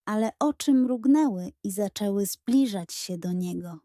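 A woman storyteller reading a scripted children's bedtime story in an expressive, dramatic and suspenseful manner. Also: mid-range voice, unhurried, no echo, studio quality.

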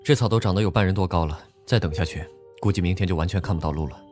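A bookish young male student, acted by a man talks quickly and matter-of-factly in a slightly low voice. It is audio drama dialogue with light music underneath. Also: darkish tone, close to an everyday voice. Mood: flat, resigned.

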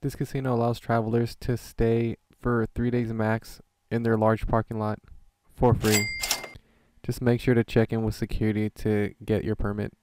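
A man's voice: fairly low pitch, flat delivery, moderate pace, darkish tone. A young adult man giving scripted voice-over narration as a travel vlogger.

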